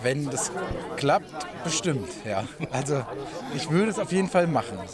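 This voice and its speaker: A man's voice: fairly high pitch, expressive, unhurried. A man chatting casually as an interviewee. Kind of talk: casual chat. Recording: loud crowd noise at event.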